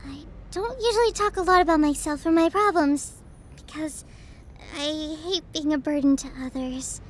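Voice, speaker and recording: A young woman acting a distraught, self-deprecating best friend confiding her troubles in an intimate audio roleplay, tearful and halting. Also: high voice, a little hiss or hum, close to an everyday voice. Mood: pleading, fragile.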